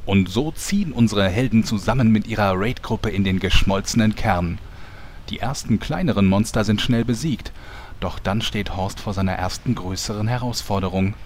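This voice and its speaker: A man plays a solemn storyteller narrating a radio play parody of heroic adventures. His voice is fairly low and the narration is scripted. A faint noise lies behind it. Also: moderate pace, close to an everyday voice. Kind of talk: scripted audio drama narration.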